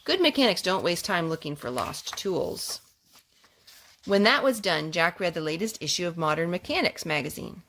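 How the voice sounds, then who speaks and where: A woman's voice: fairly low pitch, animated, moderate pace, a bit bright. A woman reading aloud to young children at a storytime, speaking simply with asides to the little ones. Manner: simple, child-directed talk.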